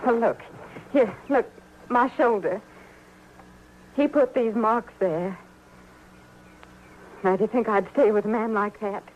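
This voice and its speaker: An American woman playing a desperate but plucky runaway dance hall girl in an old-time radio western, with a halting, pleading, dramatic delivery. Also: on the high side, highs cut off. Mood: pleading, bitter. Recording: slightly noisy old radio broadcast recording.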